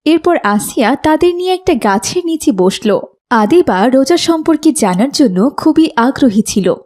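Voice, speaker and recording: High-pitched woman narrating a children's story. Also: animated, moderate pace, darkish tone.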